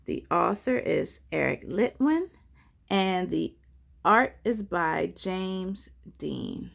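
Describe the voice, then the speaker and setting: A woman reading a children's story aloud as a teacher, expressively, pausing to put simple coaxing questions to young listeners. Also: lowish voice, sing-song, creaky, fairly bright tone.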